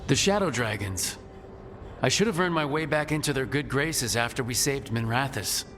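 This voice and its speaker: A man's voice: medium pitch, animated, normal speed, fairly bright tone, slight background noise. An actor playing an earnest, composed male team leader in a video game, speaking directly. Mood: businesslike. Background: game score.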